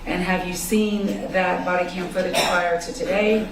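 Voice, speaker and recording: A woman prosecutor questioning a witness in court, polite, formal and measured. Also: fairly low pitch, fairly even intonation. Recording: echoey courtroom, distant mic, noisy.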